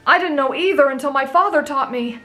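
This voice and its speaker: Woman voicing a high-pitched, gentle anime boy. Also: a little fast, faint music behind. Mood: earnest, kind. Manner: gentle, polite, patiently explanatory. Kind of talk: anime fan roleplay audio drama.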